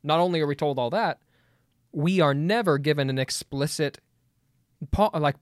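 A man debating as a guest, pushing back eagerly with quick stumbling restarts. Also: on the high side, no room sound, clean studio sound. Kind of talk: debate conversation.

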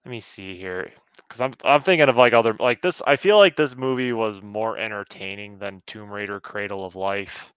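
A man's voice: on the low side, normal speed, hardly any pauses, fairly bright tone, clear, resonant tone, dry recording, dull audio. A man co-hosting a podcast, chatting casually in a film review. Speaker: podcast co-host.

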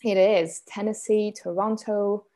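A mellow-toned young woman from Switzerland hosts a live coaching stream and talks to her viewers in an upbeat, chatty way. She reads their comments aloud as she goes, at an even middle pitch. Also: a little slow. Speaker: business coach hosting a live challenge.

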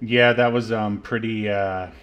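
A man's voice: fairly low pitch, fairly even intonation, a little slow, a bit bright, clear, resonant tone. A man co-hosting a film podcast, chatting casually in a hesitant, wry, laid-back way. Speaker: podcast co-host. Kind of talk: casual film-review chat.

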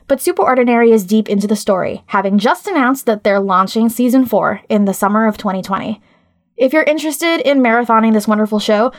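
A woman hosting a podcast, reading scripted narration in a measured, articulate way. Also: on the high side. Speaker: podcast host.

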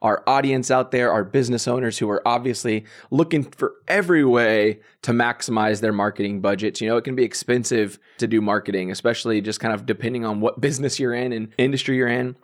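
A man's voice: medium pitch, a little fast, hardly any pauses. A young man hosting a casual interview podcast, upbeat and chatty with lots of filler words. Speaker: podcast host.

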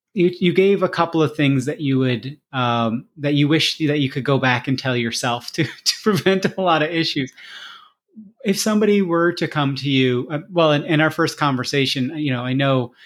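Higher-voiced man hosting a casual interview. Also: moderate pace. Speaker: podcast host, interviewer.

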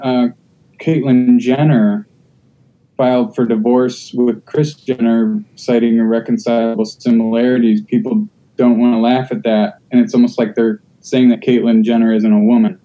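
A man's voice: medium pitch, steady tone, normal speed, dark tone, dull audio. A man who does stand-up, talking casually on a podcast, blunt and riffing, sometimes mimicking how people react. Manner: blunt, riffing, acts out hecklers' voices. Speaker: stand-up comedian.

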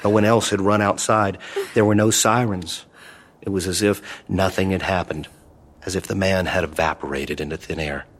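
An actor narrating a scripted horror audio drama in a measured, ominous, dramatic way. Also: male voice, low-pitched, fairly even intonation, a little hiss or hum, natural voice. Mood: ominous, grave.

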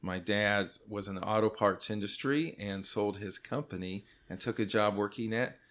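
A man's voice: lowish voice, normal speed, fairly bright tone. Jokey middle-aged man hosting a podcast. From California. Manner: jokey, loose, laughs often. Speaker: podcast host. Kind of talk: casual podcast chat.

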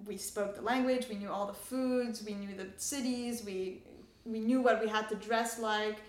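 A young woman, a native Farsi speaker who grew up in Canada and France, talks in a roomy, echoing space. She explains herself conversationally and articulately as an interviewee, at a medium pitch. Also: fairly even intonation, normal speed. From Canada and France, Iranian family. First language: Persian (Farsi). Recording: echoey room.

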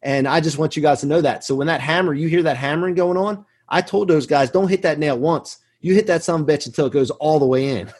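A man's voice: fairly high pitch, quick pace, few pauses, darkish tone, slightly rough. A man in his forties talking emphatically and earnestly as a self-assured podcast guest, telling motivational stories from business. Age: 40s. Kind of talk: motivational interview talk, anecdotes.

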